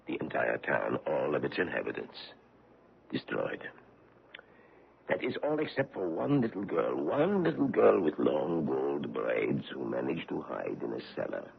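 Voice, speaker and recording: An actor playing a courteous, probing foreign investigator on the trail of a war criminal, in a 1970s radio drama. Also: male voice, very deep voice, very animated, a little slow, gravelly, a little hiss or hum, heavily acted. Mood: suave, probing. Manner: courteous, probing, gently menacing. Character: suave middle-aged foreign male war-crimes investigator. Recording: tinny old radio broadcast.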